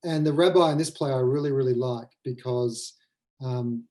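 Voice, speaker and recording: A man talking conversationally and reflectively as a playwright, thoughtful and halting, repeating phrases as they form. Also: medium pitch, warm tone. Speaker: playwright adapting a novel for the stage. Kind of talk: reflective conversational talk on a webinar.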